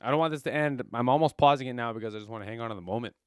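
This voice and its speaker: A man's voice: mid-range voice, racing, clear, resonant tone, no room sound, clean studio sound. A man hosting a reaction video who talks as a singer about vocal technique, rambling enthusiastically and repeating himself with many fillers. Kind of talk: casual commentary on a singing performance.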